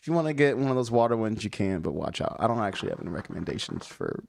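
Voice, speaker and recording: A man's voice: lowish voice, expressive, racing, vocal fry, dry recording. A man in casual, joking conversation, with a playful way of talking.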